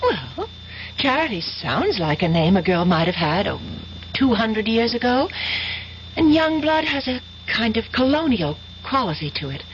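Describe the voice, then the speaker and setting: An actress playing an earnest, haunted young college student in a 1970s-style radio drama, hesitant and breathless with trailing pauses. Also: female voice, fairly high pitch, sing-song, slight background noise. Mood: musing. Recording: muffled old radio broadcast recording.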